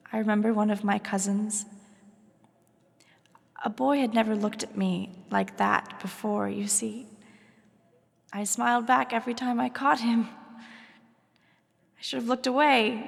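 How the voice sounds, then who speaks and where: A woman playing a troubled, melancholy young highborn bride in a fantasy audio drama, speaking formally and confessionally. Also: creaky, in a large hall. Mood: haunted confession.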